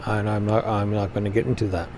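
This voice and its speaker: A man's voice: lowish voice, little pitch movement, fast, background noise. A man hosting a podcast who gives casual commentary and hesitantly restarts his sentences. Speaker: podcast host.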